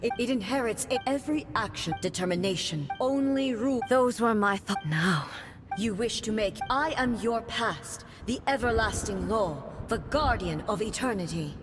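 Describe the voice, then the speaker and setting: Lady doing a proud warrior goddess from an anime game, solemn and imperious, slightly high voice, loud music behind. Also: expressive, normal speed, close to an everyday voice. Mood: stern, resolute. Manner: stately, imperious, formal. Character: proud, solemn female thunder deity and warrior ruler. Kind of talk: anime-style video game voice acting.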